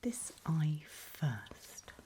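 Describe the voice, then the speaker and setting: Whispering British woman, low-voiced ASMR doctor. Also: big pitch swings. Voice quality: breathy whisper. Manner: gentle, unhurried, reassuring. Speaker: ASMR roleplayer playing a doctor. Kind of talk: whispered ASMR medical roleplay.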